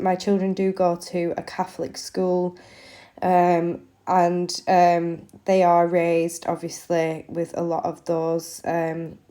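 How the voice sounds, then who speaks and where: A woman's voice: fairly low pitch, mellow. A woman in her thirties with a stuffy cold, chatting through a voiceover with lots of ums. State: congested with a cold. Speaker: craft vlogger. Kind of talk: casual voiceover narration. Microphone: voiceover mic.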